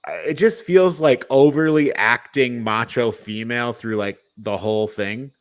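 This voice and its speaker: A man hosts a casual movie review chat at a middling pitch. He talks conversationally and gives his opinions freely, like someone discussing films with friends. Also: normal speed. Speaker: podcast host.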